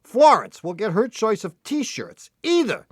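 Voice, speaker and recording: A man's voice: sits high, wildly swooping pitch, dry recording, studio quality. A man co-hosting a radio call-in show, bantering playfully and laughing often. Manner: playful, teasing, quick laughs. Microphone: studio mic.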